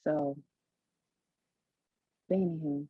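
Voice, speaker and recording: Husky young woman, earnest podcast talk. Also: sits low, steady tone, drawn-out words, no echo, narrow, tinny audio. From United States. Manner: earnest, repeats words while finding her point. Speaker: podcast co-host. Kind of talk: casual discussion.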